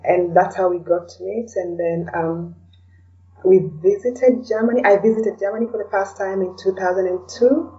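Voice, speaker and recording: A woman's voice: mid-range voice, steady tone, normal speed, warm tone, distant mic. A woman from Kenya with a Kenyan accent, answering interview questions casually and a little hesitantly, recorded away from the microphone with a dull sound. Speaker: podcast guest, blogger and podcaster.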